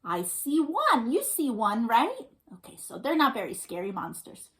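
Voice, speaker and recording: A woman's voice: on the high side, wildly swooping pitch, moderate pace, a bit bright, slight background noise. A woman hosting a storytime for young children, reading aloud and chatting in an encouraging, sing-song way with questions and praise. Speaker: children's storytime host and librarian.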